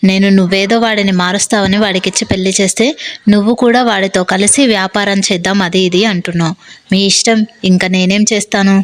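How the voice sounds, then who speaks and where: A woman's voice: medium pitch, level tone, fast talker, no echo, natural voice. A woman voicing a weary, scolding older mother-in-law in an acted moral story. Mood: scolding, resigned. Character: exasperated older mother-in-law scolding her business-mad son.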